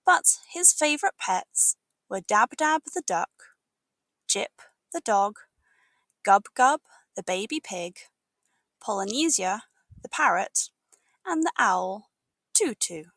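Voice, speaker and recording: British woman reading slowly, lively pitch. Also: no echo. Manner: expressive, deliberate storytelling. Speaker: English teacher reading aloud. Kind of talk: scripted storybook narration for learners.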